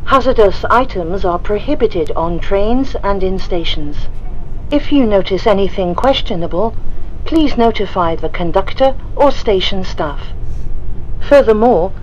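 Slow, measured woman's train announcement. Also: mid-range voice. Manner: slow, even, measured delivery. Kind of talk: pre-recorded English announcements. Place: inside a moving train carriage. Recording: dull muffled onboard speaker sound. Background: faint train noise.

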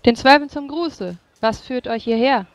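A woman's voice: on the high side, normal speed, dry recording, lightly acted. A woman playing a formal, guarded city gate guard in a tabletop game. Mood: formal, wary.

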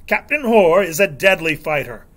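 A man voicing a stern pirate captain in a storybook, speaking with precise, measured command. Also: high voice, big pitch swings, pushed and bright, exaggerated voice. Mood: stern warning.

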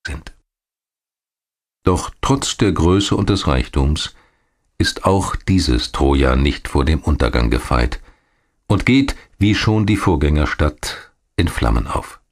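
A man reading scripted documentary narration in a measured, factual manner. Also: extremely low pitch, lively intonation.

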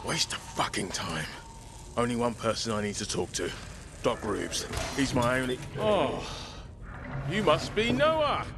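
An actor playing a wisecracking, streetwise British survivor in a zombie video game, flippant and slangy in manner. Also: male voice, medium pitch, big pitch swings, unhurried. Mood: sardonic. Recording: faint background ambient noise.

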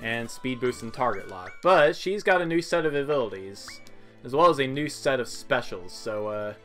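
A man's voice: mid-range voice, expressive, normal speed, fairly bright tone, soft background music. A man hosting a gameplay video, giving casual, offhand and joking commentary.

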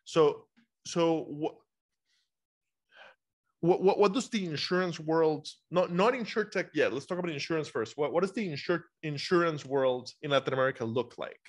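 A man's voice: on the high side, normal speed. A man in his thirties from Costa Rica hosting a casual interview over a video call. He is chatty and often repeats words as he starts his sentences. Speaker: podcast host. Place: bright home room. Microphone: video call with over-ear headphones.

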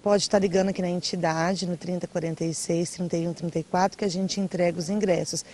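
Young woman interviewee, lowish casual voice. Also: fairly even intonation, fast, darkish tone, a little hiss or hum. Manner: casual, chatty, with fillers. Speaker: marketing manager of a charity. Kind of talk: interview answers. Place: outdoors by a brick wall.

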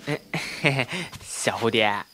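A woman's voice: very deep voice, unhurried, sharp tone, soft background music, full character voice. A woman playing a cheeky, boastful young man in a Chinese wuxia audio drama, with playful, teasing delivery.

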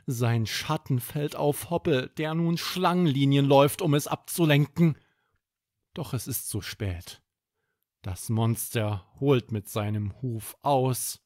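A man hosting a children's podcast, narrating a bedtime story in an expressive, dramatic way. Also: medium pitch, dry recording, clean studio sound.

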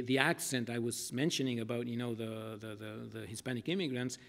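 A middle-aged man talks at a lectern in a lecture room, thinking aloud as he answers questions. He circles back and restarts often, filling pauses with "uh" and "you know". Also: medium pitch. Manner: hesitant, many restarts and fillers. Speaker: speaker at an academic forum. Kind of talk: answering audience questions at a talk.

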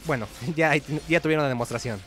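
A man's voice: on the high side, a little fast. A man giving casual, playful game playthrough commentary as the host. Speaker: gaming video host. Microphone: voice-over mic over game audio. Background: game music.

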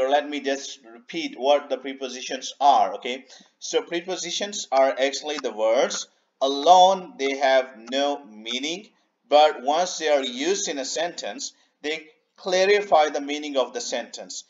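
A man teaching an English grammar lesson over slides, unhurried, repeating himself and filling pauses with "okay" and "uh". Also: mid-range voice, highs cut off. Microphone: voice-over on a screen recording.

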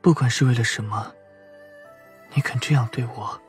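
An actor playing a wounded, weary young man in an audio drama, breathy and sighing. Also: medium pitch, moderate pace, soft background music, lightly acted. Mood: fading tender.

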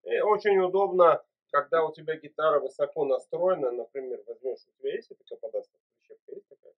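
A man's voice: a little high, quick pace, faint music behind. Encouraging man on tinny webcam audio. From Uzbekistan. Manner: encouraging, fatherly advice. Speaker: video chat host. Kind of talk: casual video chat. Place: small room indoors. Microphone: webcam mic.

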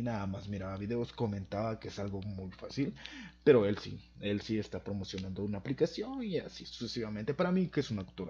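A young man with a Mexican accent, chatting excitedly and slangily while reacting to videos on a headset mic in a small room. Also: lowish voice. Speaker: reaction youtuber. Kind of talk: casual reaction commentary. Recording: dull lo-fi sound.

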